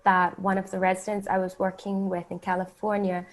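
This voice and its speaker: A woman from the Bahamas, speaking as an interview guest and telling stories in a casual, animated way. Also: medium pitch, fairly quick.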